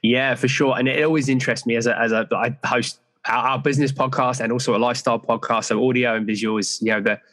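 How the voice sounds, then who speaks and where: A man with a deeper voice, a fitness coach speaking as a podcast guest in a relaxed, rambling way. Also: fast talker, few pauses. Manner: relaxed, rambling with fillers like "so yeah". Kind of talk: casual interview chat.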